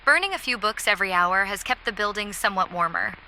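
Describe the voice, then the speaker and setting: Woman acting a very bright-voiced stranded survivor. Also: medium pitch, expressive, fast, slight background noise, low-quality recording. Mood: wry, hopeful. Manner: wry, reflective. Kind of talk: analog horror audio diary acting.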